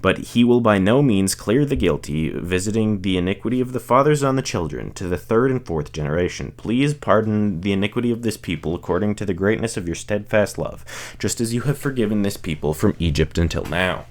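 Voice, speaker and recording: Man, low-pitched voice, scripture reading, expressive narration, solemn, declamatory. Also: a little fast. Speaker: reader of a Bible audiobook series.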